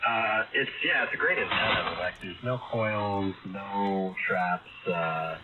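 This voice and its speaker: A man chatting casually and haltingly as an amateur radio operator over a shortwave link. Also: low-pitched. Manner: hesitant, halting. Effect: heard over shortwave ham radio. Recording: muffled shortwave radio link, loud static.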